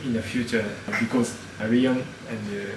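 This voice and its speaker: A man hosting an interview in English, speaking haltingly with many pauses and "uh"s. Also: medium pitch, steady tone. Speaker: host. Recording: echoey room, distant mic, background noise.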